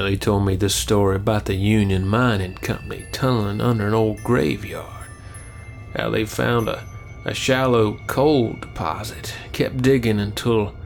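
Folksy older trucker, protective and wry, low gravelly delivery, audio drama. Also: male voice. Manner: folksy, drawling storyteller, wry. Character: gritty, folksy older male trucker protecting two young women.

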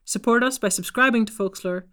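A woman's voice: on the high side, fairly quick, fairly bright tone. A woman reading out scripted end credits for a podcast as its writer and performer.

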